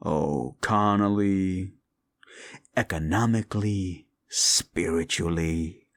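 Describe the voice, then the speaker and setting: A deep, gravelly demon, played by a man, drawls his words very slowly. His pitch swoops from hushed growls to sharp, high scoffs as he mocks and curses at the listener. It is a sneering audio-roleplay fiend who takes nothing seriously. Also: no room sound, exaggerated voice. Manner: mocking, profane, taunting. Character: scornful, sneering adult male demon.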